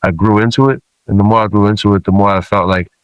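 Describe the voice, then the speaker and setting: A man in his forties who grew up in Samoa, a rapper guest telling stories in relaxed, casual talk with many fillers. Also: fairly low pitch, fast, clear, resonant tone, dry recording, dull audio.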